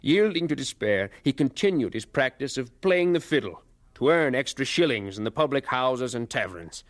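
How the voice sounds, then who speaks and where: A man's voice: medium pitch, expressive, moderate pace, no room sound, clean studio sound, lightly acted. An actor playing a grown man who looks back on his boyhood, narrating an audio drama in a solemn, reflective way. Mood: sorrowful. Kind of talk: dramatized first-person narration.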